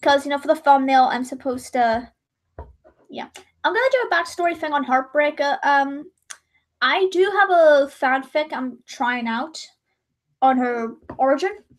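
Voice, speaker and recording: A woman's voice: high-pitched, unhurried. A young woman chatting casually while drawing on a livestream, rambling and correcting herself, her voice a little strained. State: voice gone high and strained.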